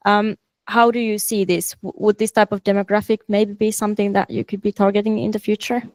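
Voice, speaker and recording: Woman hosting q&a at a tech event, polite measured questions, dry sound. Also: mid-range voice, flat delivery, darkish tone, dry recording. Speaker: event moderator. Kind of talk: moderating a Q&A.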